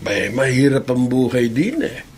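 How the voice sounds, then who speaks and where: A man's voice: mid-range voice, lively intonation, measured pace, slight background noise, close to an everyday voice. An actor playing a kindly, doting old farmer grandfather in a Filipino radio drama, speaking in a folksy, concerned way. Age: older.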